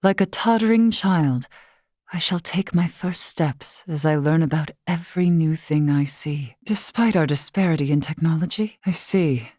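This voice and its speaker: A wise elder woman of a fantasy race, with a fairly low voice and a close, dry sound. She speaks in formal, old-fashioned phrasing, measured and courtly, with a gently teasing temper. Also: dry recording. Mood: intrigued. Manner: formal, measured, archaic wording. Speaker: voice actress in a video game. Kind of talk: scripted game character dialogue.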